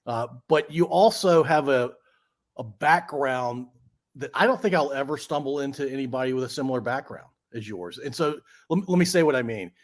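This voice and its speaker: A man's voice: medium pitch, animated, moderate pace. Friendly rambling podcast host, man. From Central Virginia. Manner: friendly, rambling with many uh fillers. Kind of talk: casual conversation.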